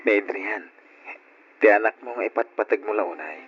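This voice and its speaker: Guy acting patient loving son in a radio drama, earnest, lowish voice, muffled recording. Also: moderate pace, natural voice. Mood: tender, emotional. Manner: earnest, sentimental. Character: devoted, patient, self-sacrificing adult son caring for mother. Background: guitar music.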